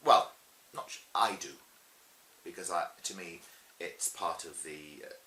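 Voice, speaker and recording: Low-voiced Welsh man chatting in interview. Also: measured pace. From Swansea, Wales. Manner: chatty, animated retelling with acted-out quotes. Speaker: pantomime dame and cabaret performer being interviewed. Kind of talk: casual interview chat. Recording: roomy echo with faint hiss.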